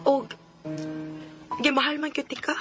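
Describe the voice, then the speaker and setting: A woman's voice: high voice, level tone, unhurried. An actress playing a regretful, plaintive woman longing for an old love in a Filipino Cebuano radio drama. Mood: yearning. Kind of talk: sentimental radio drama acting. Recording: radio drama with music bed.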